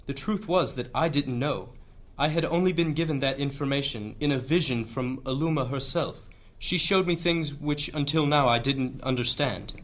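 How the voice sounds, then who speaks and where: An actor playing a grave, earnest church leader, speaking in measured, oratorical fashion in a fantasy audio drama. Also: male voice, medium pitch, fairly bright tone, close to an everyday voice. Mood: solemn confiding. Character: solemn male high church leader, earnest and weighty.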